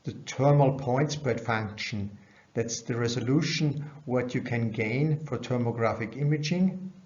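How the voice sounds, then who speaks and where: A man's voice: mid-range voice, unhurried, darkish tone. Dull-sounding man giving a science lecture. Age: middle-aged. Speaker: researcher presenting at a conference. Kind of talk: scientific lecture over slides. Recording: dull, band-limited webcam audio.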